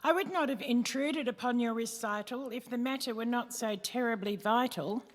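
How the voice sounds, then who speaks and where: A woman's voice: a little high, normal speed, close to an everyday voice. A woman playing a deferential, anxious messenger from Hades in a rhymed radio play, pleading and apologetic. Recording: reverberant hall, music behind.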